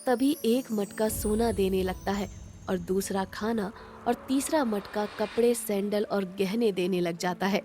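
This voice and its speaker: A woman's voice: mid-range voice, a little slow, warm tone, soft background music. A woman narrator reading a scripted moral story.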